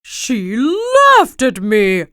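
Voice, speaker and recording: A woman voicing a gloomy old male king who sobs and wails his lines slowly in a storybook reading. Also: on the high side, wildly swooping pitch, fairly bright tone, clear, resonant tone, no room sound, clean studio sound, exaggerated voice. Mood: shocked. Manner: sobbing, wailing, slow.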